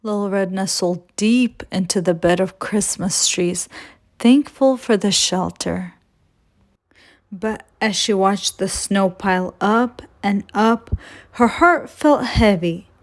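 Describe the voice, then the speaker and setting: A woman hosts a storytime, reading a picture book aloud for children. She takes her time with very slow phrasing and an expressive delivery. Her voice sits at an average pitch. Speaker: children's storytime reader and host.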